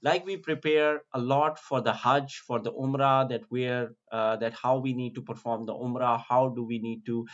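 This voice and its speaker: A man giving a casual screen-share tour, explaining slowly with many fillers and restarts. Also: medium pitch, steady tone, highs cut off. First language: not Arabic. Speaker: vlogger giving a virtual tour.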